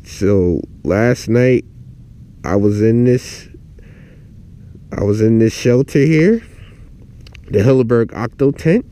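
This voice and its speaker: A man's voice: lowish voice, dark tone. A man talking casually and off the cuff to his viewers as an outdoor gear vlogger. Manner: informal, unscripted, addressing viewers. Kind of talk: casual vlog talk. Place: outdoors in a yard, in and around a tent.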